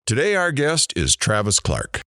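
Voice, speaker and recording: A man's voice: mid-range voice, wildly swooping pitch, unhurried, bright, forceful tone, a little hiss or hum, heavily acted. A man playing a brash, sardonic middle-aged radio talk show host in an audio drama, with showy, theatrical patter.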